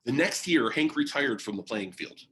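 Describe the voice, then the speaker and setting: A man's voice: medium pitch, lively intonation, moderate pace, a bit bright. A man reading a biography aloud as narration, in the style of a book for young listeners.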